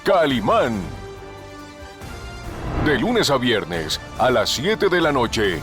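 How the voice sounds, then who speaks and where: Man, promo announcer, very slow, sweeping intonation, emphatic, dramatic radio style, music underneath. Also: medium pitch, wildly swooping pitch. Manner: emphatic, drawn-out delivery.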